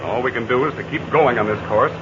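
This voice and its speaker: An actor playing a confident, commanding heroic aviator in a 1940s radio serial. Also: male voice, medium pitch, quick pace, background noise, natural voice. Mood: explaining. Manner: steady, commanding, reassuring. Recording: dull lo-fi 1940 radio recording.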